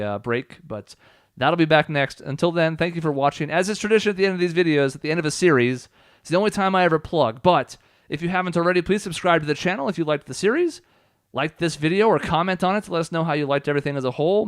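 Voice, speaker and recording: Male let's play host, casual and explanatory, brisk delivery, smooth tone, close dry sound. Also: a little high, dry recording. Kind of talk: casual gameplay commentary.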